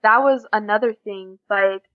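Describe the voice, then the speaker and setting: A young American woman chatting casually as a podcast guest, telling stories in a lively, conversational way. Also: mid-range voice, a little slow. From USA. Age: young adult. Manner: chatty, animated storytelling with quoted dialogue and frequent giggles. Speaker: podcast guest, exchange student. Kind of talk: casual interview chat. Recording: slightly dull, lo-fi audio.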